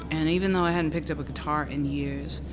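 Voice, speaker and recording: A candid female musician, played by an actress, speaks in a low register. The delivery is conversational radio-drama storytelling, natural and casual, over clearly audible ambient noise. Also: fairly even intonation, a little fast, natural voice. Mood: wistful, casual. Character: candid woman traveler recalling a painful betrayal, musician.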